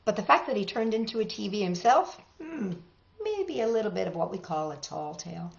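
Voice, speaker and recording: A woman hosting storytime for young children, talking and reading aloud in an expressive, unhurried way, addressing them directly. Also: mid-range voice, creaky. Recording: dull, roomy sound with faint music.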